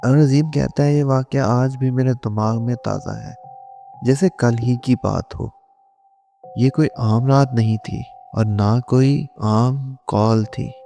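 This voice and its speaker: Male horror storyteller, dark mellow tone, unhurried suspenseful delivery, scripted first-person narration, faint music behind. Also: medium pitch, vocal fry. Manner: slow, suspenseful, dramatic storytelling.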